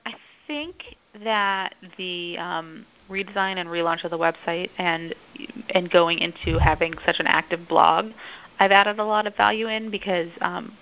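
Woman, museum writer, interview answers, gravelly fry, lots of pauses, dull lo-fi recording. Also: fairly low pitch. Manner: thoughtful, many filler pauses.

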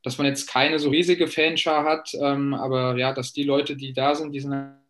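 A man's voice: medium pitch, little pitch movement, moderate pace, a bit bright. A man in his late twenties, a professional footballer, answering fan questions thoughtfully over a video call with many fillers and restarts. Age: late 20s.

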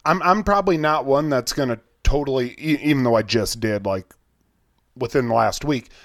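A man's voice: medium pitch, normal speed, no echo, studio quality. A man with an American Midwestern accent chatting casually and informally as co-host of a fishing podcast. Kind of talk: casual chat.

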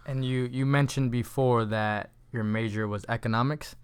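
A young man hosting a casual interview show, asking questions in a relaxed, conversational way. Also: mid-range voice, moderate pace, clean tone, no echo. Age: young adult. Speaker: host.

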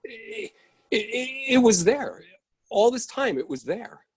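A woman reading the role of a puzzled adult in a play reading, husky and insistent. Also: fairly high pitch, big pitch swings, slow, a little mellow, heavily acted. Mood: bewildered. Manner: insistent, incredulous, repeats herself. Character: puzzled adult person upset by change. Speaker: actor reading second character. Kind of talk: readers theatre play reading.

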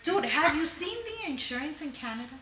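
A woman's voice: high voice, big pitch swings, fairly quick, bright, forceful tone, echoey room, slight background noise. A woman co-hosting a podcast, arguing her point, interrupting and swearing. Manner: argumentative, interrupts, profane. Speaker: podcast co-host. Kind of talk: casual comedic banter.

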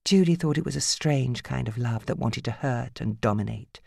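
Gravelly, grave woman audiobook narrator. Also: a bass voice, moderate pace, mellow, no room sound, clean studio sound. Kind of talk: dramatic scripted fiction narration.

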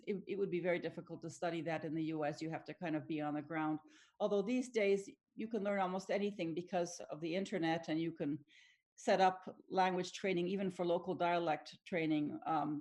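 A woman's voice: deep voice, darkish tone. A middle-aged woman whose first language is Finnish, answering interview questions thoughtfully with frequent restarts and small laughs. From Tanzania. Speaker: academic researcher guest.

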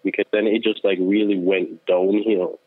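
A deep-voiced man talks in an earnest, self-assured way, with lots of fillers. He comes through a tinny, phone-like line. The sound is dry, with no room echo. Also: level tone, normal speed. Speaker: guest, social worker. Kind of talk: casual podcast chat.

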